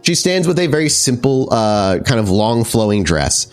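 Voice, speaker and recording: A man's voice: medium pitch, very animated, normal speed, soft background music. A man narrating a tabletop horror game as storyteller, improvising descriptions in a conversational way with some casual swearing.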